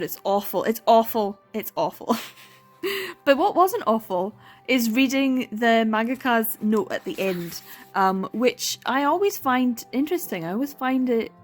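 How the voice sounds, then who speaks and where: A young woman in a casual reading vlog, talking through a manga with exasperated sighs, laughs and dramatic repetition. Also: a little high. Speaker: book vlogger.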